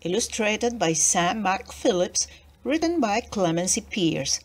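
A woman narrating a children's picture book aloud in an expressive storytelling manner. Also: mid-range voice, measured pace.

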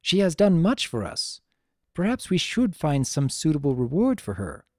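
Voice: mid-range voice, huge pitch swings, moderate pace, dark tone, dry recording, studio quality, pitched above the performer's own voice. A narrator voicing a formal, earnest female pony princess in a dramatic story reading, with archaic, stately diction. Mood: thoughtful, scheming.

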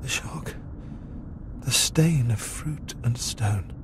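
A man reading a dramatic poem in clipped, intense fragments, his delivery often hushed. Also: medium pitch, slow pace, noisy background. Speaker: reader. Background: music.

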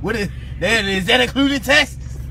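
A man plays a brash, foul-mouthed drive-thru customer in a high, forceful voice with a gravelly edge. It is an improvised prank caught on a phone inside a car. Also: lively intonation, normal speed, heavily acted. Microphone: handheld phone. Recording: faint noise, poor phone recording.

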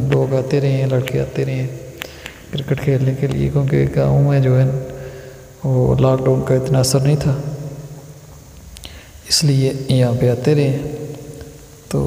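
A Pakistani man narrates a travel vlog in a very dark, mellow voice with an almost unvarying pitch. He is earnest and a little formal, like a local guide. The recording is echoey, with steady noise behind him. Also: creaky. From Azad Kashmir, Pakistan. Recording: roomy echo, steady background noise.

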